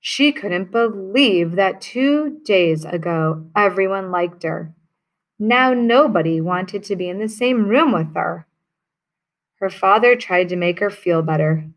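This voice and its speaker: A woman teacher reading a children's picture book aloud to her class, telling it with expression. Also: medium pitch. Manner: slow, expressive storytelling.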